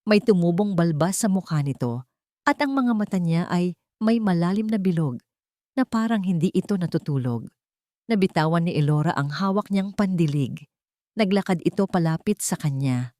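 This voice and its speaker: A woman's voice: fairly low pitch, expressive, moderate pace, dark tone, dry recording, studio quality. A woman with a Filipino accent narrating a dramatic scripted love story.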